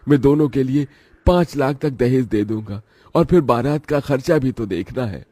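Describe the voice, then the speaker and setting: A man's voice: medium pitch, moderate pace, a little mellow, no echo, voice pushed up, close to an everyday voice. A man voicing a poor, humble old village father in a cartoon story, earnest and pleading in manner. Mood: worried, resigned.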